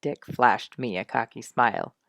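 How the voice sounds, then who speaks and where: A woman reading a children's story aloud in expressive scripted narration. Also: very deep voice, measured pace, creaky, no room sound.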